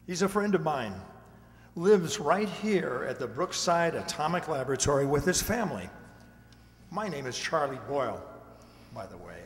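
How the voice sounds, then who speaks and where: A man playing a folksy, rambling veteran security guard who narrates a radio play in a hesitant, conversational way. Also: fairly high pitch. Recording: echoey hall, stage reverb.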